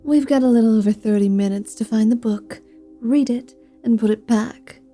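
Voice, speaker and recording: Woman acting a sardonic vampire woman, soft and dark-toned. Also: fairly high pitch, normal speed, soft background music, natural voice. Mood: brisk, wry. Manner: sweary, teasing, impatient. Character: flirty, sardonic, wicked-smart female vampire rogue. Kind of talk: ASMR fantasy roleplay acting.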